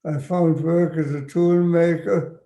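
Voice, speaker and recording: A man's voice: on the high side, steady tone, takes it slowly, mellow. A man in his nineties from Germany, giving a short personal testimony in an echoey room. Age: 90s. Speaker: Holocaust survivor giving testimony.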